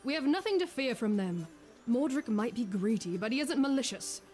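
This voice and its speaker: High, lilting fairy queen. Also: big pitch swings, normal speed, darkish tone, faint music behind. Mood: dismissive. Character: complacent fairy queen, mother of a grown daughter. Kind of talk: scripted fantasy game dialogue.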